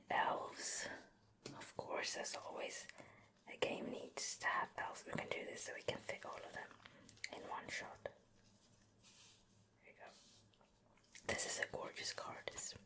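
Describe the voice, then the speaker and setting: A woman giving a gentle, unhurried show and tell in a soft, breathy ASMR whisper, very close to the mic. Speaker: ASMR video creator. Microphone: close ear-to-ear ASMR microphone.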